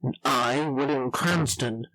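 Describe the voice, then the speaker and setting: A man playing a polite, smug, calculating schemer in a deliberate scripted monologue. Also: mid-range voice, pushed and bright, poor audio. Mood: coolly calculating.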